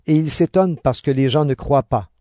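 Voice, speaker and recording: A man giving scripted narration for an audio Bible drama in a measured storytelling manner. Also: on the high side, mellow, dry recording, studio quality. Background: background music.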